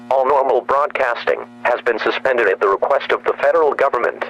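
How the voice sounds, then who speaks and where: A man's voice: mid-range voice, fairly bright tone. Formal male emergency announcer, noisy hum. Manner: formal, measured, impersonal. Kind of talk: scripted emergency broadcast announcement.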